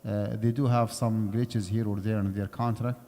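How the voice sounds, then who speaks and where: A man's voice: on the low side, flat delivery, moderate pace, mellow. A man giving a conversational lecture on Islamic finance in a mosque, explaining step by step and checking in with his listeners. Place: mosque prayer hall. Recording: long hall reverb.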